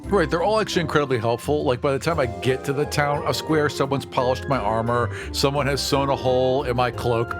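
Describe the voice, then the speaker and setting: A man's voice: mid-range voice, fairly quick, few pauses. Joking male tabletop player, faint music. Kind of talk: casual improvised game chat.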